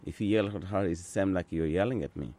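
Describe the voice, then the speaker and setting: A man in his sixties from Sudan, an Azande speaker talking in English, answering interview questions in an earnest, unhurried way with frequent pauses and restarts. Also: deep voice, dark tone. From South Sudan. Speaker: interviewee, translator. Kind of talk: conversational interview.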